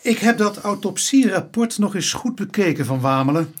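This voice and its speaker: Dutch police inspector, very varied intonation. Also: male voice, sits high, animated, moderate pace. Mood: explanatory. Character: cautious, weary male police inspector in authority. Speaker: actor in a radio play. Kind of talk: Dutch radio drama acting.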